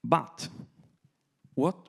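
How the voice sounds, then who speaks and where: A man with an Italian accent, a non-native English speaker, talking on a conference panel in measured, halting phrases. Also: a little mellow. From Italy.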